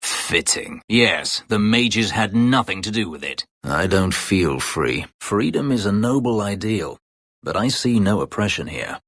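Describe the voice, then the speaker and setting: An actor playing a brooding, bitter escaped-slave elf warrior in a fantasy video game, terse, guarded and drily sardonic, with a gravelly voice. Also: male voice, very animated, a little slow, a bit bright, no echo, studio quality, full character voice. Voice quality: gravelly, husky low voice. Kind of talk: dramatic fantasy video game character dialogue.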